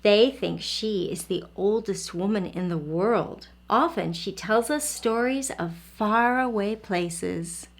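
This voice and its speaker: A woman's voice: mid-range voice, slow pace, few pauses. A woman reading a children's picture book aloud as a teacher, expressively and addressing young listeners directly.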